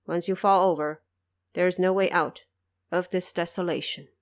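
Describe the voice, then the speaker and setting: A woman's voice: lowish voice, steady tone, close to an everyday voice. A woman playing a solemn male judge of the underworld in an audio drama, courteous, formal and commanding. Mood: grave warning. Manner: formal, measured, grave.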